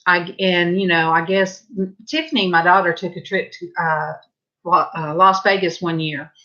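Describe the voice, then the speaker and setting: Rambly southern lady talking to her viewers at home, lowish voice, kinda dull lo-fi sound. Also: moderate pace, pushed and bright. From Southern California. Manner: rambling, chatty, talks to viewers directly. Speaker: vlogger. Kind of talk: casual chat while painting. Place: home, indoors.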